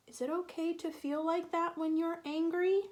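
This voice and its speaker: Lady teacher doing storytime for little kids, really slow, asks questions and waits, patient explaining. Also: very high voice, a little mellow. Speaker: teacher reading a picture book aloud to young children. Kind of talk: children's read-aloud with questions to listeners.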